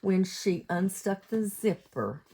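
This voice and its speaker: An American woman reading a storybook aloud to young children as a teacher would, in an expressive sing-song way, asking them questions. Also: medium pitch, takes it slowly, creaky, slightly rough. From United States, lived in West Virginia.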